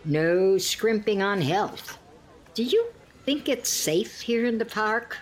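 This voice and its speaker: A woman's voice: mid-range voice, expressive, slow pace. A woman playing a genteel, judgmental elderly friend in an audio play, prim and sighing, with politely pointed barbs. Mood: chatty, content. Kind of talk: scripted two-hander audio comedy-drama.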